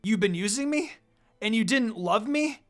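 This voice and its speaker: A man voicing a hot-headed young male half-demon in an anime fan story, loud, aggrieved and confrontational over music. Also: sits high, expressive, moderate pace, pitched above the performer's own voice. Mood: betrayed, shouting.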